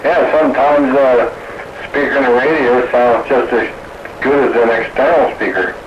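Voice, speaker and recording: A man's voice: mid-range voice, steady tone, normal speed, lo-fi sound. A man having a casual chat with fellow hobbyists over a radio link. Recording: noisy, roomy radio transmission.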